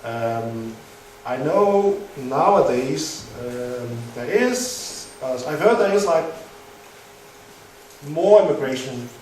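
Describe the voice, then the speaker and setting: A man's voice: mid-range voice, expressive, takes it slowly, darkish tone. Danish guy, english not his first language, lots of ums and restarts, far from the mic in a really echoey noisy hall. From Denmark. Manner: hesitant, many fillers and restarts. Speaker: guest speaker. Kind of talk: live Q&A answers.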